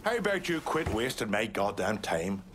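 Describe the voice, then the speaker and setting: A man's voice: fairly low pitch, sing-song, normal speed, a bit bright, a little hiss or hum. A man playing a brash, foul-mouthed middle-aged mobster in a video game, pushy and belligerent. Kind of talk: cinematic video game acting.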